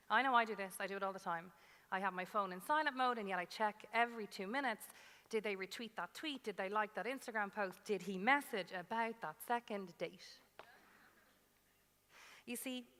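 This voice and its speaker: A woman's voice: mid-range voice, pushed and bright. A woman giving a prepared conference talk, telling stories about data and punctuating them with rhetorical questions and short emphatic asides. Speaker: conference speaker, data scientist. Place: large conference hall stage. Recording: reverberant hall sound.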